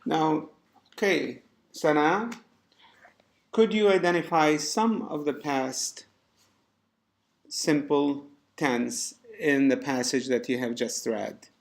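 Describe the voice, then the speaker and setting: A man teaching a class, giving deliberate, encouraging instructions to students in an indoor room. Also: on the high side, creaky. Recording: echoey room.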